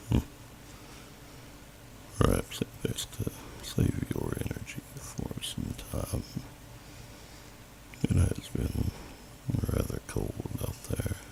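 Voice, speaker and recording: Gentle old dragon, very gravelly and slow, ASMR fantasy roleplay, noisy home recording. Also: male voice, heavily acted. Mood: gentle, soothing. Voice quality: very deep, gravelly, breathy growl. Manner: slow, pensive, archaic formal phrasing. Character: gentle, wise old male dragon, welcoming host.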